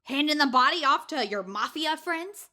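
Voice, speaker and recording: A brash young woman sleuth in an audio drama, played by an actress, with a high, bright voice that swings widely. She is self-important and theatrical, quick to boast and to accuse. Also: animated. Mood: smug, accusing.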